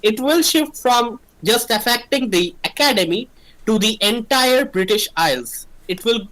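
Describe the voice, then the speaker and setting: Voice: medium pitch, animated, unhurried, a bit bright, low-quality recording. Game writer for a livestream brainstorm, mid-range voice, conversational and explanatory. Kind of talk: casual creative brainstorming discussion.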